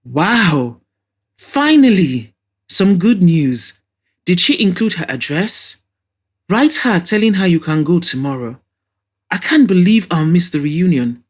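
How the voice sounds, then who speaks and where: A woman playing an excitable, bossy best friend. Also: medium pitch, slow, husky, full character voice. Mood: excited. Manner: emphatic, blunt.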